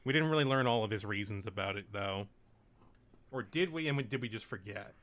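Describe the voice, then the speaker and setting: A man acting as game master, talking casually and haltingly as he hosts and narrates a tabletop game session. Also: fairly low pitch, expressive, fairly bright tone. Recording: music playing behind the voice. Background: music.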